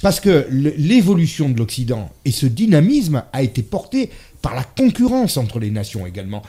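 Husky, lively-pitched French man arguing. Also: normal speed. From France. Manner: emphatic, argumentative, agrees readily. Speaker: debate guest. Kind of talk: casual debate chat.

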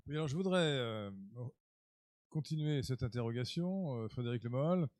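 A man's voice: medium pitch, expressive, normal speed, darkish tone, no echo. A man hosting a radio interview, making emphatic, lecturing points to listeners, with occasional fillers and restarts. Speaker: radio host.

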